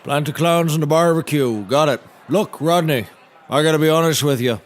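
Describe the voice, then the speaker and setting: A man's voice: on the high side, moderate pace, some chatter behind, natural voice. A man playing a half-hearted handyman turned lawyer in a scripted audio comedy, matter-of-fact and dismissive. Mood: deadpan, dismissive.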